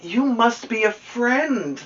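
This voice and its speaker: A man voicing an innocent toy teddy bear in a storybook, with a slow, wondering, wistful manner, in a distant recording. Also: extremely high pitch, animated, a bit bright, slight background noise, voice pushed up, exaggerated voice. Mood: grateful, wistful. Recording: distant mic, dull lo-fi sound.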